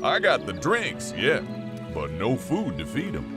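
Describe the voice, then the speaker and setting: Folksy weary village mayor for a game, slow and really swoopy, music behind. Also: male voice, medium pitch, huge pitch swings. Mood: weary, pleading. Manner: folksy, rambling. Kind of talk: comic game character dialogue.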